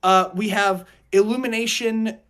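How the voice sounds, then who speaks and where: Male podcast co-host, casual and slangy, animated delivery with shifting volume. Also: sits high, unhurried. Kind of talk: casual group podcast chat.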